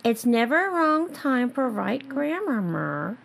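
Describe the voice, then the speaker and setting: Woman as wide-eyed schoolgirl heroine, high voice with lively swings, careful and hesitant. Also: measured pace, gravelly, heavily acted. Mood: uneasy.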